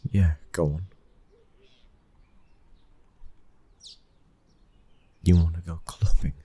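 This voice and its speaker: Very deep-voiced British mafia heir, acted by a man. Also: fairly even intonation, fairly quick, dark tone, breathy edge. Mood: firm, protective. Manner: low, intimate, commanding murmur. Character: possessive young mafia boss's son, controlling but tender husband. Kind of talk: intimate ASMR boyfriend roleplay.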